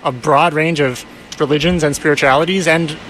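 A man's voice: steady tone, brisk, a bit bright, poor audio. A man speaking thoughtfully in an interview, working through ideas and lifting his voice when he poses questions. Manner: thoughtful, searching, rises in pitch when posing questions. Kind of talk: reflective interview conversation. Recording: faint background noise.

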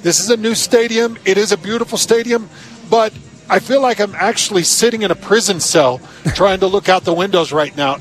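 A man gives casual sports commentary as a radio co-host in a stadium booth. His voice is high-pitched for a man. He talks informally and effusively, as in a friendly chat. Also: poor audio.

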